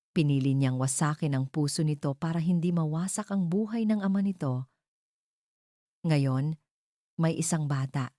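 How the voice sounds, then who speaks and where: A woman's voice: low-pitched, warm tone, dry recording, clean studio sound. A woman narrating a scripted love story in a dramatic storytelling style.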